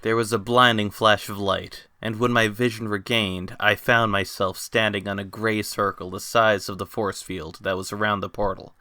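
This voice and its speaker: A man's voice: on the low side, little pitch movement, moderate pace, hardly any pauses, bright, forceful tone, no echo. A man giving a dramatic scripted narration of a fantasy story. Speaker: narrator, fan fiction reader.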